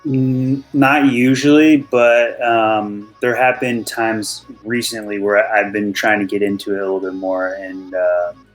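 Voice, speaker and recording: A man with a fairly low, monotone voice chats casually about making music. He sounds laid-back and unhurried, with plenty of fillers. Faint music plays underneath. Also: rough recording. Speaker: music producer. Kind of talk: casual chat on a livestream.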